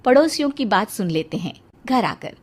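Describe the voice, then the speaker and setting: Woman narrating a story, expressive delivery. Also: mid-range voice, normal speed.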